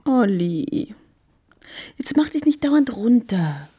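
An actress playing a flirtatious married woman in a radio crime drama, coaxing and teasing, at times dropping into a breathy whisper. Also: on the high side, very animated, slow pace, warm tone, no echo, clean studio sound, exaggerated voice. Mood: seductive.

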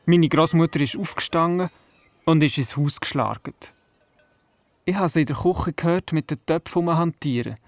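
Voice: medium pitch, normal speed, no room sound, natural voice. A performer playing a curious, doubting young boy in a Swiss German radio play, asking questions and narrating. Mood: narrating fondly. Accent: Swiss German, Glarus area. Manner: inquisitive, skeptical.

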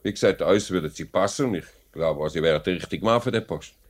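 A man playing a formal, authoritative factory director with a Swiss German accent in a 1950s radio play. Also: low-pitched. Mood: firm. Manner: measured, formal, noncommittal. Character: measured, authoritative male factory director, businesslike.

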